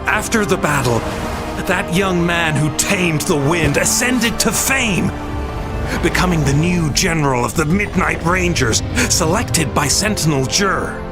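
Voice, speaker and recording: Game storyteller, grandiose, higher-pitched, sweeping intonation, dramatic war-legend narration, loud music behind. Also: male voice, expressive, unhurried. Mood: solemn, hopeful. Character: theatrical male storyteller recounting a heroic war legend.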